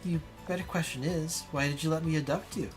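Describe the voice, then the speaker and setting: A man's voice: a little high, steady tone, a little fast, over music, pitched above the performer's own voice, lightly acted. A man voicing a mocking female villain from a visual novel, theatrical and full of scornful questions. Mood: scornful, taunting. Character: mocking, taunting female villain, a dark double.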